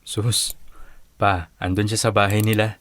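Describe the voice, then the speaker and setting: An actor playing a reluctant, soft-hearted young son who protests plaintively in a Tagalog radio drama. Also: male voice, lowish voice, little pitch movement, measured pace, a little hiss or hum. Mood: exasperated.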